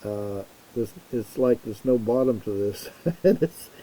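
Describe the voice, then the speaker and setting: A man's voice: mid-range voice, lively intonation, a little slow, warm tone, poor audio. A male interview host speaking casually and hesitantly, with fillers and repeated words.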